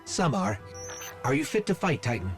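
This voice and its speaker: An actor playing a composed, helpful AI guide in a video game, giving articulate, purposeful briefings with an earnest, alert manner. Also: male voice, high voice, wildly swooping pitch, moderate pace, music in the background, heavily acted. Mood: urgent, steady. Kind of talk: dramatic video game voice acting, mission briefing.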